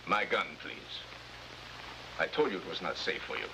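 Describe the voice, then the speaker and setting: An actor playing a proud South police chief, formal and quietly menacing in a 1950s crime drama. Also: male voice, low-pitched, animated, moderate pace. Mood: cold, warning. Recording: noisy, hissy old 1950s drama soundtrack.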